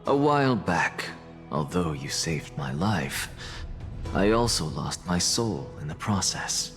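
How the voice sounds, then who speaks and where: A man's voice: deep voice, big pitch swings, slow, full character voice. An actor voicing an arrogant, self-sacrificing male scholar in a video game, theatrical, self-assured and dismissive. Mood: defiant, grandiose. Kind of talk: dramatic video game voice acting. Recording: long reverb, music underneath.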